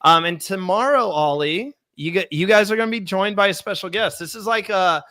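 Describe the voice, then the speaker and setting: A young man hosting a live podcast, chatty and upbeat, his voice hoarse as it recovers from being sick. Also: sits high, fairly quick, pushed and bright. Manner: chatty, upbeat, reads viewer comments aloud. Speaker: podcast host.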